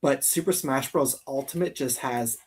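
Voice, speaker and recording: A man's voice: mid-range voice, normal speed, a little mellow, husky, recorded from a distance. A man hosting a casual gaming livestream, talking enthusiastically and repeating phrases for emphasis. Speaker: host of a live gaming countdown show. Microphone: streaming call microphone.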